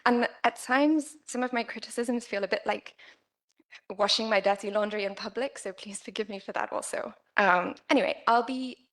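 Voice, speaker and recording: Quiet-voiced woman giving an academic talk. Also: on the high side, moderate pace. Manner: quiet-voiced, careful with many fillers. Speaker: conference speaker. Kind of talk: academic presentation. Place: conference room.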